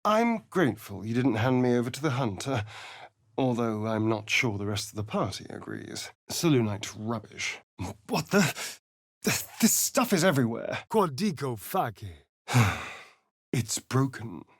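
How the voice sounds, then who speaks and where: Arch, swooping vampire rogue. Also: male voice, medium pitch, very animated, unhurried, no room sound, clean studio sound. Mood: earnest, wary, then exasperated, disdainful. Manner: arch, theatrical, drawling, mocking. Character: vain, sardonic, theatrical male vampire spawn rogue. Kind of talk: video game character voice lines.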